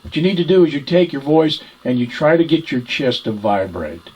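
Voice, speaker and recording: A man teaching ventriloquism informally, explaining things in a halting, searching way. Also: on the high side, big pitch swings, darkish tone, slightly rough, muffled recording. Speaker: ventriloquism instructor. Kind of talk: informal instructional talk.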